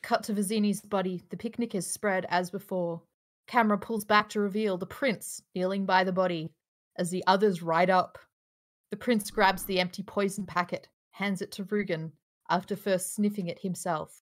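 A woman's voice: medium pitch, fairly even intonation, normal speed. A woman reading stage directions as narrator in a live script table read. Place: indoors, on a group video call.

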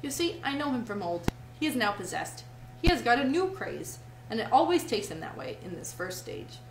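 A woman's voice: a little high, big pitch swings, moderate pace, creaky, echoey room, a little hiss or hum, rough recording. A woman voicing a fiery, practical male water rat in a storybook, speaking firmly and emphatically. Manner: emphatic, indignant, firm.

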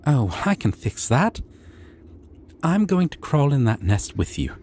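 A gentle, bashful dragon, acted by a man in a fantasy ASMR roleplay. He talks slowly and hesitantly in a mellow, soothing voice, eager to please. There is a faint noise in the background. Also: mid-range voice, big pitch swings. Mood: gentle, reassuring. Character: shy, sweet, gentle young male dragon, eager to please.

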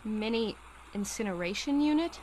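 A woman's voice: mid-range voice, lively intonation, moderate pace, very noisy, lightly acted. A woman playing a defiant, exasperated captive in a sci-fi radio serial. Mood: defiant, appalled.

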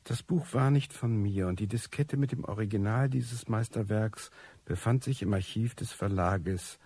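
An actor playing a disillusioned, cynical recluse who tells his life story in a radio drama, wry and bitter, with a husky, gravelly voice. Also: fairly low pitch, normal speed, warm tone, dry recording. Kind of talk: radio drama first-person monologue.

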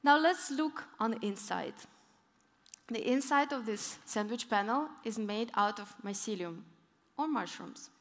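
A woman's voice: a little high, unhurried. A young woman giving a conference keynote on stage, telling stories and leaning hard on her key points. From northern Europe, Arctic region. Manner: storytelling, lively emphasis on key points. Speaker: keynote speaker. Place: large conference hall on stage. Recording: long hall reverb.

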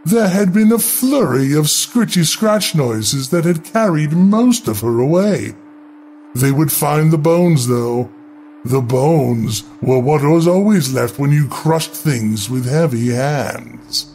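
A man narrating a scripted horror story in a deliberate, theatrical, dramatic way. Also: a little high, animated, darkish tone. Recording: music bed under the voice. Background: music.

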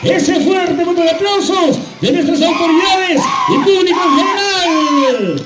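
Male festival MC, Peruvian Andean accent, very high voice, rousing repetitive calls, echoing PA in an open plaza. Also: very noisy. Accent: Peruvian Andean Spanish. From Huancavelica, Peru. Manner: rousing, drawn-out shouts with repeated words. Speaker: announcer at a carnival dance contest. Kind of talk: festival announcing over a PA. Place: outdoor town plaza. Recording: echoey PA, loud music and crowd. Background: music and crowd.

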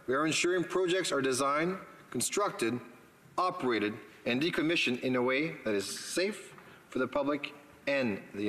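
A man's voice: medium pitch, fairly bright tone. Formal man reading a statement in a reverberant chamber. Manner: formal, measured. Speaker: parliamentary secretary. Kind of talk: reading a prepared government statement. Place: legislative chamber.

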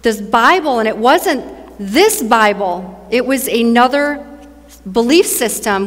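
A woman preacher speaks in a fairly high, soft voice, with long reverb from a large church hall around her. She shares her testimony earnestly and repeats key phrases to press them home. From northern India. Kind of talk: sermon and personal testimony to an audience. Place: large church stage, indoors.

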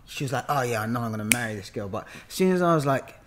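A London man in his thirties talks casually into a podcast mic, with a mid-pitched voice. He tells stories in an animated, bantering manner, dropping in slang as he goes. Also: racing, noisy background. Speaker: podcast guest, actor. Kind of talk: casual conversational storytelling. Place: bright living room, on a sofa. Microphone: podcast mic on a boom arm.

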